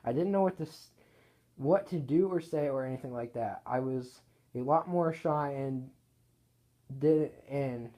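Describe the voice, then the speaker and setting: A man reading his own written story aloud in a casual way, halting and correcting himself as he goes. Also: mid-range voice, warm tone.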